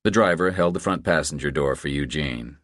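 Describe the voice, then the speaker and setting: Very deep-voiced male audiobook narrator. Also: brisk, fairly bright tone, dry recording, studio quality. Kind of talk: scripted thriller narration.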